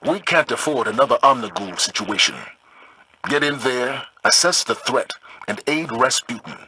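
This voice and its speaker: An actor playing a resolute, authoritative military commander in a video game, with a very raspy voice. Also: male voice, medium pitch, animated, moderate pace, pushed and bright, no echo, full character voice, poor audio. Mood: commanding.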